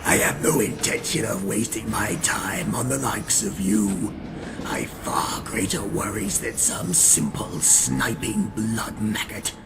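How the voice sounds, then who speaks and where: A man playing a proud, grim, vengeful vampire in an audio drama, with a gravelly voice and brooding, theatrical delivery. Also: lowish voice, lively intonation, slow. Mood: contemptuous. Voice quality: gravelly, creaky. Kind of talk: dramatic first-person narration in an audio drama. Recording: music under the voice. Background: music.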